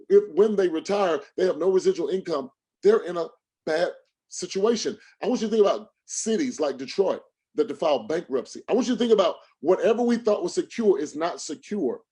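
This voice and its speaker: Man, somewhat high-pitched, motivational speaker, livestream sales talk, emphatic, repetitive preacher-style phrasing, rhetorical questions. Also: dark tone, slightly rough. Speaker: motivational speaker and financial trading coach.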